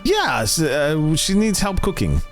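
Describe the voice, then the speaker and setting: Game master, lively swings in pitch, casual improvised roleplay talk, background music. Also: slow pace. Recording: music bed behind the voice.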